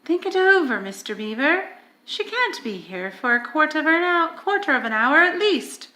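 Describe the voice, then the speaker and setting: A woman voicing a motherly female beaver in a storybook, practical, folksy and coolly unhurried. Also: high-pitched, sing-song, bright, forceful tone, echoey room, voice pushed up, exaggerated voice, low-quality recording. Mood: brisk, unflustered. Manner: cool, folksy, unflustered.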